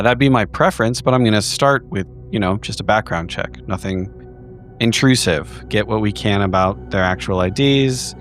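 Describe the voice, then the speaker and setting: A man's voice: fairly low pitch, a bit bright. A man playing in a tabletop game, talking through his plans in a casual way. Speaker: player.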